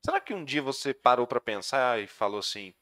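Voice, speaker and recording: A man with a Brazilian Portuguese accent preaching a sermon as pastor, earnest, his voice dropping soft at the ends of phrases. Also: mid-range voice, very animated, fairly quick. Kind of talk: sermon with prayer.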